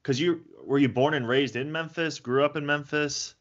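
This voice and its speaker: A man's voice: a little high, moderate pace, fairly bright tone, muffled recording. A young man hosting a podcast, affirming his guest and asking friendly questions. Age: young adult. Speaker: podcast host. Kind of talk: casual interview.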